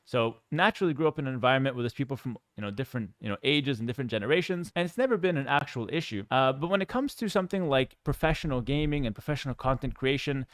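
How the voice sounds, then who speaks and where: A man talks candidly, as a creator addressing his viewers. His delivery is very quick and his voice is clean and smooth, at a medium pitch. The sound is dry and close, and he explains himself in a reflective, conversational way. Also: lively intonation, no echo. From Canada. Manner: measured, candid, explanatory, with frequent 'you know' asides. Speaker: professional gamer and content creator. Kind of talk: personal talk to viewers, reflective monologue.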